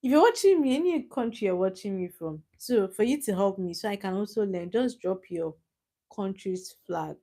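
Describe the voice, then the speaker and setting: A woman's voice: medium pitch, animated, normal speed, mellow. Chatty Nigerian woman on a live stream. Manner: chatty, teasing, laughs often, answers chat comments. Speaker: livestream host. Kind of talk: casual live stream chat with viewers.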